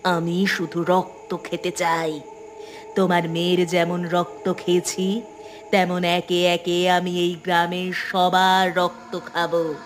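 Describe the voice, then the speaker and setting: A vengeful female cartoon ghost, hungry for blood and full of menace. She speaks slowly, drawing out her taunts and cackling, with noisy ambience around her. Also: fairly low pitch, completely flat delivery. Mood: taunting menace. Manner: slow, taunting delivery with cackling. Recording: background noise and ambience.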